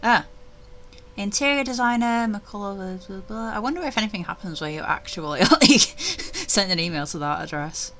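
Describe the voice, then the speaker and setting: A woman reading a visual novel aloud in first person, animated and chatty, with offhand asides. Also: mid-range voice, moderate pace.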